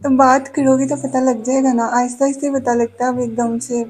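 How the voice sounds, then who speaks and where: A man's voice: very high-pitched, level tone, fairly quick, darkish tone, faint music behind. A young man voicing a lovestruck, nervous female student who pleads coyly, in a prank phone call. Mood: earnest, pleading. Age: young adult. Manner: coy, pleading. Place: small indoor room.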